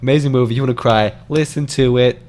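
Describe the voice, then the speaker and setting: A man's voice: mid-range voice, fairly even intonation, quick pace, darkish tone. A man co-hosting a casual movie podcast, joking and teasing with exaggerated reactions. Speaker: podcast co-host. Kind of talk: casual movie review chat.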